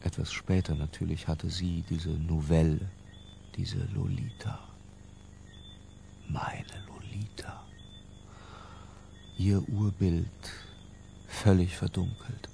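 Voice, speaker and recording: An actor playing an obsessive, lyrical middle-aged intellectual who confesses in a hushed, deliberate literary radio drama narration. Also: male voice, low-pitched, fairly even intonation, vocal fry, a little hiss or hum, exaggerated voice. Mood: feverish reverie. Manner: hushed, deliberate, breaking into near-whispers.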